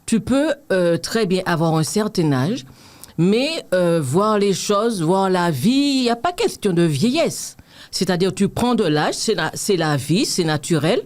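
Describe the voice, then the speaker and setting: A woman's voice: fairly low pitch, animated, a little slow, a little mellow. A woman in her fifties with a Martinican accent, chatting earnestly as an interview guest and reflecting on accepting herself. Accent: Martinican French. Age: 50s. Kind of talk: casual interview chat.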